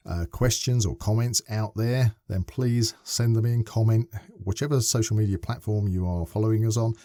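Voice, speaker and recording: Man, podcast host, dark mellow voice, lowish pitch, rambling questions, dry studio sound. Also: steady tone, moderate pace, no room sound. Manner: long, winding questions with many restarts. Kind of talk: casual podcast interview.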